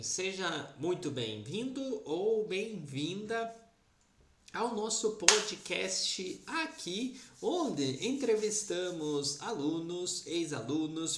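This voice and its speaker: Casual: podcast host, talks slow like a teacher, kinda lively, echoey room. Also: mid-range voice, a little hiss or hum. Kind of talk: podcast interview. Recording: roomy echo.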